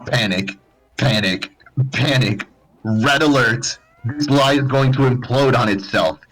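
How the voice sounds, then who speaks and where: A man's voice: mid-range voice, unhurried, a bit bright, rough recording. A man narrating fan fiction as a live dramatized comedic reading.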